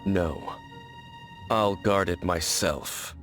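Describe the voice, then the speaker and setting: A man playing a grim, distrustful lone warrior in a video game, speaking in a solemn, measured, formal manner. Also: low-pitched, fairly bright tone, faint music behind, full character voice. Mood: guarded, resolute. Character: grim, stoic, distrustful lone warrior guarding ancient secrets. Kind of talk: English video game voice acting.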